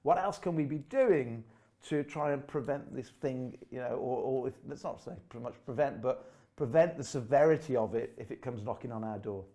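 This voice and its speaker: A man in his forties hosting an online show in a chatty, upbeat way, his voice slightly croaky. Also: medium pitch, moderate pace, mellow. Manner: upbeat, chatty, laughs often. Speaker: host. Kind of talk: casual online show presenting. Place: room under his house.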